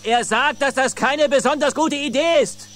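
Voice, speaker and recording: A man's voice: very high-pitched, moderate pace, fairly bright tone, a little hiss or hum. A man playing a placating, evasive husband in charge of an expedition, in a German audio drama. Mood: calling out. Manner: pleading, appeasing. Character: placating, evasive husband who organised the trip.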